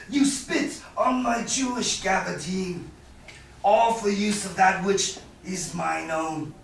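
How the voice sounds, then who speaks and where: A man acting a bitter, sly moneylender in a Shakespearean staged reading, with a hesitant, drawn-out, chuckling delivery. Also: high voice, vocal fry, a bit bright, full character voice. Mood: bitter, mocking. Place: live staged reading in a reverberant room. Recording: echoey room, distant mic, faint noise.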